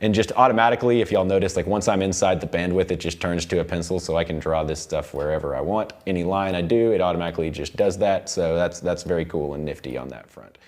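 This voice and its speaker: Low-voiced man giving a casual tutorial. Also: fast talker, hardly any pauses, darkish tone. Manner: informal, rambling explanations. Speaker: music production instructor. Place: indoor recording studio.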